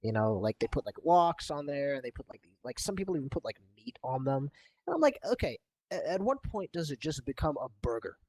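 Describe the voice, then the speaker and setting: A man's voice: sing-song, brisk, darkish tone. An eighteen-year-old man chatting casually as a podcast guest, rambling and laughing with lots of filler words, recorded on a cheap home microphone. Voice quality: deep for his age when slouched. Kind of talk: casual chat. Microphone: cheap home mic.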